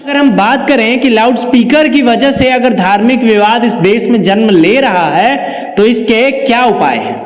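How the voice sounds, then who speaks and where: A man's voice: very high voice, normal speed. A man presenting a scripted news explainer, narrating and commenting to the viewer. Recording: echoey, reverberant room.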